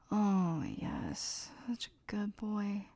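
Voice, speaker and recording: A young adult woman whispering a slow, teasing, commanding audio roleplay close to the mic, with soft laughs between lines. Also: mid-range voice, no echo. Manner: mostly whispered.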